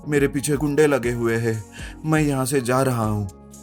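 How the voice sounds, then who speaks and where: A man's voice: medium pitch, normal speed, natural voice. A man playing an earnest, polite young man in a narrated story. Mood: urgent. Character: earnest young man in trouble, wealthy heir. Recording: music behind the voice.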